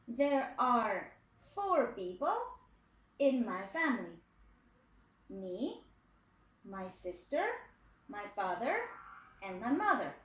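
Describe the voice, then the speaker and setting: Woman in her 20s from Poland, kids' English teacher, patient and repetitive, high lively pitch. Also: reverberant. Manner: patient, repeats phrases for pupils to copy. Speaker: English teacher for young children. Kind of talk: slow, clearly spoken video lesson for kids.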